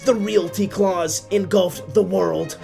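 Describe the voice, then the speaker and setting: A man's voice: high-pitched, fairly even intonation, slow, vocal fry, mellow, soft background music. A man narrating a scripted tale for a puppet show in a theatrical, solemn, literary manner.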